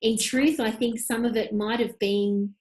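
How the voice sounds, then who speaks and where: A woman's voice: on the high side, steady tone, a little slow, darkish tone, slight background noise. A woman in her thirties, a podcast guest on a webcam call, speaking in an impassioned, emphatic way. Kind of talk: conversational interview. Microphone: video call webcam.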